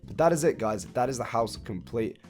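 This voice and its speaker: A man hoarse and raspy from a recent cold, casually walking viewers through a build step by step. He counts blocks out loud and laughs off his own slip-ups. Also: medium pitch, animated, fairly quick. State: hoarse, croaky after a cold. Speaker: video game building tutorial host. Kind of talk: casual step-by-step gameplay walkthrough. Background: faint background music.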